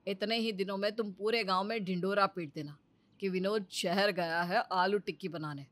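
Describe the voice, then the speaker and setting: A woman's voice: medium pitch, fairly even intonation, moderate pace, a bit bright, no echo, natural voice. A woman voicing a greedy male village snack seller in a children's moral story, chatty, emphatic and persuasive. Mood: scheming.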